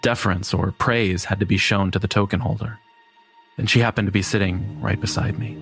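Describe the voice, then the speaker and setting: A man's voice: lowish voice, steady tone, fairly quick, creaky, a bit bright, soft background music. A man narrating a scripted first-person horror story.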